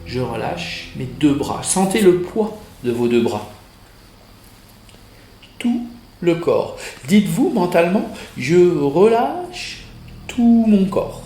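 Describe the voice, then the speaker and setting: A man with a fairly high voice guides a relaxation session very slowly. He gives short, measured instructions with pauses between them. The recording is roomy and echoing. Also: sing-song, warm tone, a little hiss or hum. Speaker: yoga nidra instructor. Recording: roomy echo, music behind the voice.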